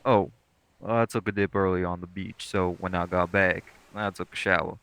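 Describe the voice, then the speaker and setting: Man playing a low, gravelly, sweet boyfriend. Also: fairly even intonation, moderate pace, fairly bright tone. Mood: playful, teasing. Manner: laid-back, coaxing, slangy. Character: sweet, caring, teasing lightning elemental boyfriend. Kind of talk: intimate boyfriend audio roleplay, casual speech.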